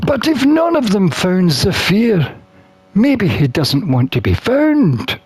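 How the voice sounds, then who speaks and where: Expressive, unhurried gamer reading aloud. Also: mid-range voice, slightly rough, no room sound. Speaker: gamer playing and narrating. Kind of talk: reading a visual novel aloud, voicing characters.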